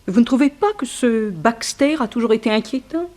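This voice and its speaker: An actress playing a bitter, haughty former dancer in a 1960s French radio drama, disdainful and theatrical. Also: female voice, fairly high pitch, animated, normal speed, a little mellow, lightly acted. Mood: conspiratorial.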